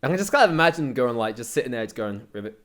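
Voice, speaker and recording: A man's voice: medium pitch, big pitch swings, racing, fairly bright tone. A young British man chatting casually as an interview guest, telling anecdotes in a slangy, rambling way. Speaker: interview guest, content creator.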